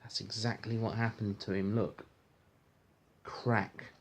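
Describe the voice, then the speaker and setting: A man reading a storybook aloud to a child in an informal, encouraging, gentle way, with chatty asides. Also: fairly low pitch, little pitch movement, slow. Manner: encouraging, gentle, echoes the child's words back. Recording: home recording with faint background noise.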